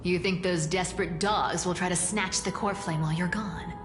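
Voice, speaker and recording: A woman's voice: on the low side, fairly even intonation, moderate pace, natural voice. An actress playing a sly, teasing female trickster demigod in a game, with a playful, mocking way of talking. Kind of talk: game voice acting. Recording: roomy echo, long reverb, music behind.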